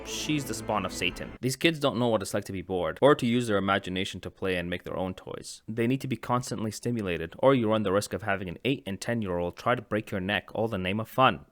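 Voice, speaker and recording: A man's voice: on the low side, fast, few pauses, a bit bright, no room sound, faint music behind. A man from Egypt narrating a comedic childhood story, with rambling joking asides. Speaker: animation channel host.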